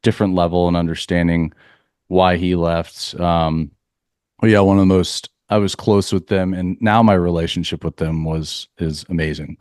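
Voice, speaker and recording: Low steady guy from florida, smooth voice, dry close sound, calm earnest talk about healing. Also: normal speed, no echo. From Central Florida, US. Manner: calm, earnest, spiritual phrasing. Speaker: leadership coach for athletes. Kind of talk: reflective podcast interview.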